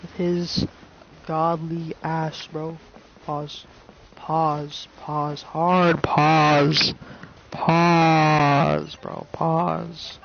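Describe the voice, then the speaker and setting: A man's voice: fairly high pitch, level tone, extremely slow, vocal fry, dull audio, slight background noise. A young man doing casual playthrough commentary full of slang and swearing, repeating himself in mock outrage. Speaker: game streamer.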